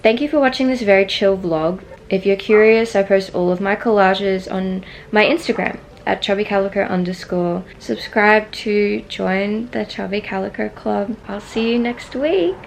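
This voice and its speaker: Young woman vlogger, Australian accent, laid-back chatty voice-over, mid-pitched. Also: moderate pace, gravelly, hardly any pauses, a little hiss or hum, poor audio. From Singapore. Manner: relaxed, chatty, many "like" fillers. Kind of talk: casual voice-over narration.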